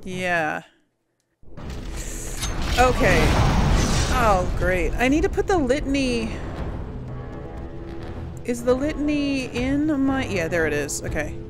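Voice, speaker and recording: Slow-talking, high-voiced woman doing game commentary. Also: very animated. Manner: exclaiming, chatty, thinking aloud. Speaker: gaming video host and player. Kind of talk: casual let's play commentary. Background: loud game music and sound.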